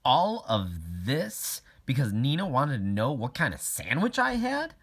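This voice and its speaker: A man's voice: mid-range voice, huge pitch swings, measured pace, a bit bright, pitched above the performer's own voice, heavily acted. A man voicing a stubborn, indignant schoolgirl in a children's audiobook, protesting emphatically. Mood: incredulous. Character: stubborn, indignant schoolgirl who argues back.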